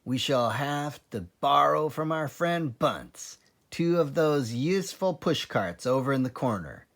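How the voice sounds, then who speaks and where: A man's voice: on the high side, expressive, a little slow, fairly bright tone, pitched above the performer's own voice. A man voicing a cunning, bossy, food-loving father fox in a children's storybook, with a relishing, exclamatory manner. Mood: fond, commanding.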